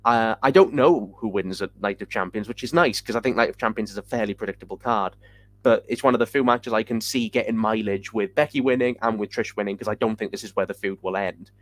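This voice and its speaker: A man's voice: lowish voice, quick pace. A man co-hosting a podcast who previews an upcoming show with enthusiasm, hedging his points with "I think.". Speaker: podcast co-host. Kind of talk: casual podcast chat.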